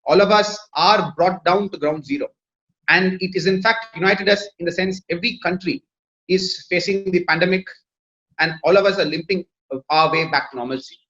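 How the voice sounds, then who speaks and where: A man's voice: high-pitched, normal speed, a bit bright, highs cut off. A man from India with an Indian English accent, a Tamil native speaker, speaking formally as a lawyer on a webinar panel with frequent fillers. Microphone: video call.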